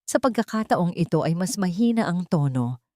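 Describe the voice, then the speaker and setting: A woman narrator delivers scripted melodrama in a fairly low register. Her storytelling is solemn and emotive, captured in a close, dry recording with very little room sound. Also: lively intonation, moderate pace, dark tone, dry recording. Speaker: audio drama narrator.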